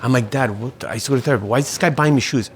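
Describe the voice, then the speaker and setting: Halting, reflective middle-aged man. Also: mid-range voice, a little hiss or hum. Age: 40s. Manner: halting, repeats words, philosophical. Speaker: restaurateur guest. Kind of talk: reflective interview talk.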